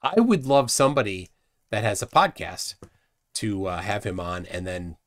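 A middle-aged man hosting a casual livestream from a room at home, scornful and animated, often trailing off quietly. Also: on the low side, moderate pace. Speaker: host.

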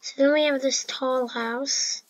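A woman's voice: sits high, steady tone, unhurried, lo-fi sound, a little hiss or hum. A woman giving casual, chatty commentary over her own gameplay, with fillers and restarts. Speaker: gameplay narrator.

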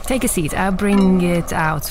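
A woman's voice: on the low side, measured pace, noisy background. A woman playing a friendly, curious café worker in a naturalistic audio drama. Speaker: café server.

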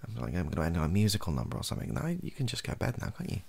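British male, 30s, extremely low and croaky, soft ASMR delivery, loose self-deprecating ramble. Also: wildly swooping pitch, rapid-fire. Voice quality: soft, gravelly, husky. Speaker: video host, ASMR creator. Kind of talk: improvised softly spoken ASMR ramble. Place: small bedroom office, indoors.

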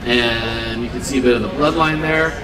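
A man's voice: mid-range voice, normal speed. Guy in his 30s talking earnestly to a crowd outside, lots of ums and restarts, sounds far off and roomy. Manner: earnest, unscripted, with frequent fillers and restarts. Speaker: seafood company representative. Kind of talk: live demo talk to an audience. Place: outdoor event stage under trees.

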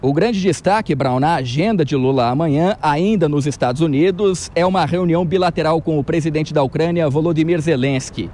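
A man's voice: on the high side, a little fast, few pauses, darkish tone. A Brazilian man in his thirties delivering a live news report into a handheld microphone outdoors. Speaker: field reporter. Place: outdoors at night in front of a large building. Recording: outdoor live feed with ambient noise.

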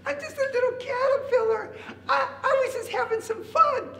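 A man's voice: very high-pitched, moderate pace, gravelly, bright, forceful tone, pitched above the performer's own voice, heavily acted. A man voicing a tiny harmless caterpillar in a children's story, sheepish and giggly. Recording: echoey room, faint background noise.

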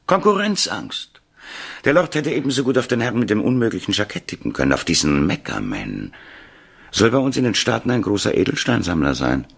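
An actor playing an eccentric, mocking professor of the occult in a 1970s radio play, speaking theatrically in clipped phrases with dramatic pauses. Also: male voice, lowish voice, wildly swooping pitch, creaky, breathy edge, full character voice. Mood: dismissive. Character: eccentric American parapsychology professor, ominous and mocking.

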